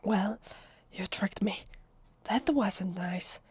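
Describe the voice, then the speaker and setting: Breathy, nervous Italian-accented vampire played by a woman. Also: mid-range voice, drawn-out words, heavily acted. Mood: hurt, wary. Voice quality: soft, breathy, often whispery. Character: secretive female vampire, nervous, fragile and defensive. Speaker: voice actress. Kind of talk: ASMR roleplay, soft acted monologue.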